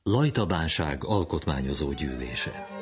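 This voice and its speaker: A man reading out a historical document in a formal, slow and solemn manner. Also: a bass voice, animated, over music.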